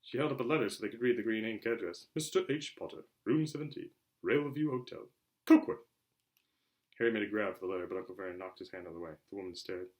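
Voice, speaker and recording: A man reading a storybook aloud as a narrator, in an expressive, theatrical style. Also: deep voice, rapid-fire, hardly any pauses. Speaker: audiobook reader.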